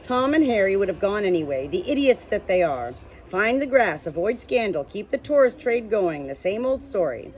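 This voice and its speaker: A woman's voice: mid-range voice, big pitch swings, moderate pace, darkish tone, lo-fi sound, a little hiss or hum, natural voice. A woman voicing a nervous, eager-to-please male pub landlord in an audiobook, chattering in colloquial speech. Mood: nervous, confiding. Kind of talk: audiobook character dialogue.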